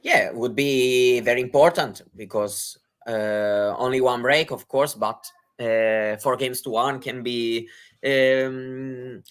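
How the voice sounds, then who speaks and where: Slow, halting man analysing tennis. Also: mid-range voice, fairly even intonation, smooth. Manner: hesitant, many fillers and restarts. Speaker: tennis co-commentator.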